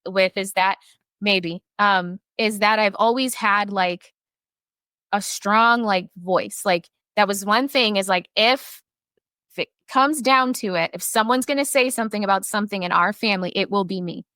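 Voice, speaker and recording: A woman's voice: mid-range voice, normal speed, pushed and bright, no room sound. A woman guest on a podcast, telling personal stories in a frank, talkative way and swearing casually.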